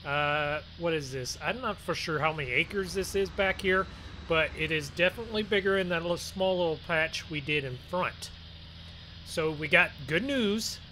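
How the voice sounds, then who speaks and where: Vehicle noise runs clearly behind a man with a somewhat high-pitched voice. He hosts a farming game video as casual roleplay. His delivery is chatty and folksy, as if talking viewers through the work. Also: bright, forceful tone, poor audio. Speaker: gaming video host. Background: game vehicle engine sounds.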